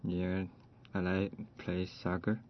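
A man's voice: low-pitched, completely flat delivery, slow pace, highs cut off, a little hiss or hum. A man whose first language is Cantonese, a podcast guest giving short, careful, hesitant answers in English.

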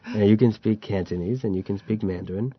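A man's voice: fairly low pitch, fairly even intonation, brisk, lo-fi sound, a little hiss or hum. A man hosting a podcast, chatting casually and telling stories with a laugh. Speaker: podcast host. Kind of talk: casual chat about learning Chinese.

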